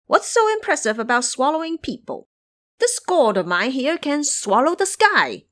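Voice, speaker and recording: Crafty monkey trickster, acted by a woman, cocky and boastful, pitch jumping high, very animated, audio drama. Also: moderate pace.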